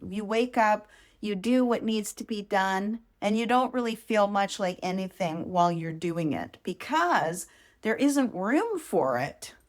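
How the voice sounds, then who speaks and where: A woman hosting a podcast, giving a reflective personal monologue in short, deliberate, measured phrases. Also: medium pitch, lively intonation. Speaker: podcast host.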